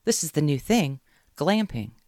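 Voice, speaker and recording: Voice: mid-range voice, big pitch swings, unhurried, dry recording, clean studio sound, voice pushed up. A reader voicing a brash southern gal friend. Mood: cheering. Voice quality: drawling. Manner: loud, emphatic cheerleading.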